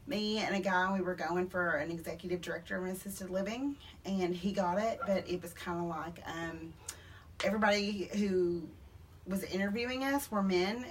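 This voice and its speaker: Woman, kinda low voice, lots of ums, mic a bit far. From Greenville. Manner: conversational, many um fillers. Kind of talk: interview answers. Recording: distant mic, faint noise.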